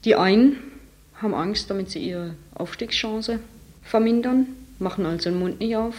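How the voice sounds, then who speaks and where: A woman's voice: mid-range voice, moderate pace, darkish tone. A young woman, a department store saleswoman, frankly describing her workplace in an interview. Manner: frank, critical, talks with some hesitation.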